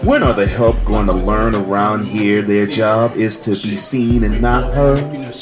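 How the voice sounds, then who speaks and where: A man's voice: lowish voice, fairly even intonation, unhurried, music in the background, lightly acted. Man acting a proud, hostile gang henchman. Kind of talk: horror mystery radio drama acting.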